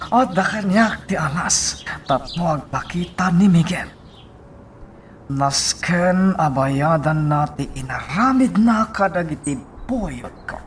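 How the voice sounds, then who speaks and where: Woman acting a menacing, animated villainess. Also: deep voice, takes it slowly. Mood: vengeful. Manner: commanding, menacing. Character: cold, scheming villainess plotting a killing. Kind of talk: radio drama acting. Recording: loud noise behind the voice.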